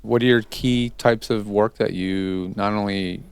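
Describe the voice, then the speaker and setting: Male interview host, lowish dry voice. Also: fairly even intonation, unhurried, creaky, clean tone, no echo. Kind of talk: conversational interview hosting.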